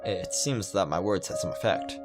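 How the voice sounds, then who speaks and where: A man's voice: low-pitched, moderate pace. A man reading a visual novel aloud and adding his own comments, sometimes stumbling over the words. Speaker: video game playthrough host. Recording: loud piano music behind. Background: piano game music.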